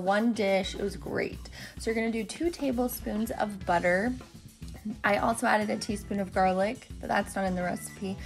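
Woman with a gravelly, creaky voice. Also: mid-range voice. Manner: chatty, relaxed step-by-step instructions with ums. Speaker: cooking vlogger. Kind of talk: casual recipe voice-over. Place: home kitchen.

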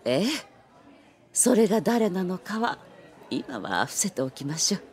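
A woman's voice: fairly low pitch, very animated, darkish tone, slightly rough, heavily acted. An actress playing a genteel, teasing elderly woman novelist in an anime audio drama. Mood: confiding. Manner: genteel, teasing, deliberately revealing.

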